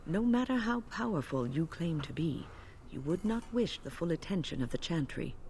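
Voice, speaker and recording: A woman voicing an older female mage companion in a video game, who speaks in a measured, gently probing way. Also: fairly low pitch, animated, slight background noise, close to an everyday voice. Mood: earnest.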